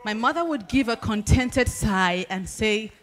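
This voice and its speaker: A young Nigerian woman with a Nigerian accent performing spoken word poetry at a podium, with measured, expressive pacing and deliberate pauses. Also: a little high, faint music behind. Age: young adult. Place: large banquet hall at a podium. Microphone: handheld microphone.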